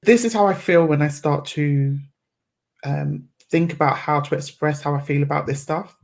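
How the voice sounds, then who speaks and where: A woman who is a guest on a podcast, speaking in a reflective, measured way and choosing her words carefully. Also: low voice, creaky, a little mellow. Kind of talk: reflective conversational interview.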